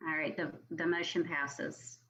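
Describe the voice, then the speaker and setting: A woman's voice: low-pitched, little pitch movement, measured pace, pushed and bright, rough recording. A woman working as a staff member, calling a formal roll at a meeting.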